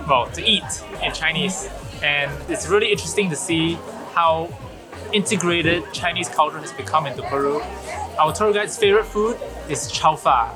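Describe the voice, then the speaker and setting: Relaxed young man in a busy market. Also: on the high side. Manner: relaxed, descriptive, explains food and culture. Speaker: travel vlogger. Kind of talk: casual food vlog commentary. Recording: music and busy market crowd behind.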